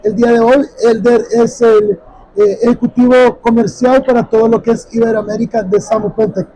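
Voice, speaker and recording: Interviewer at a trade show: high, mellow male voice, friendly with many fillers. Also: flat delivery, normal speed, slight background noise, low-quality recording. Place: busy expo hall.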